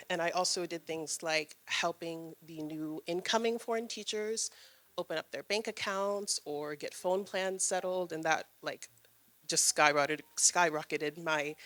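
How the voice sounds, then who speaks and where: A woman's voice: sits low, fairly even intonation, moderate pace, a bit bright. A young woman in her twenties speaking as a panelist at an event, friendly and chatty with frequent ums. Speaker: panelist, graduate student. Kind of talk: answering on a panel. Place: event room with audience.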